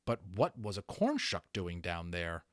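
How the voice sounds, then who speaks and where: A man giving an expressive, dramatic reading as an amateur storybook narrator. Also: low voice, a little slow, no room sound, clean studio sound.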